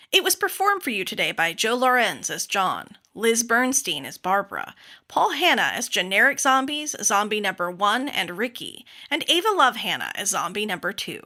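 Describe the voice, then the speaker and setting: A woman's voice: medium pitch, very animated, moderate pace, bright, forceful tone. A woman hosting a podcast, reading scripted announcements. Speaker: podcast host.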